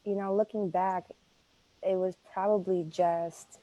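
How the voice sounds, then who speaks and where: A woman's voice: medium pitch, steady tone, unhurried, mellow, no echo, low-quality recording. A woman, a native Greek speaker, talking earnestly in a casual interview, with many fillers and restarts. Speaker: interview guest.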